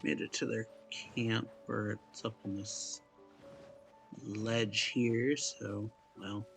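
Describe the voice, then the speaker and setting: A man's voice: on the low side, level tone, takes it slowly, vocal fry. Casual man commentating over game music. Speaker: gaming video host. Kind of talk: casual let's-play reaction commentary.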